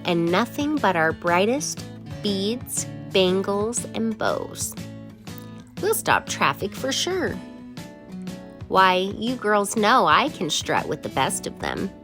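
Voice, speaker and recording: A woman's voice: medium pitch, sing-song, slow pace. Woman acting gossipy storybook hen, female, vain, theatrical, emphatic, swooping intonation, music behind. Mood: excited, preening. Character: vain, indignant, gossipy female farm hens.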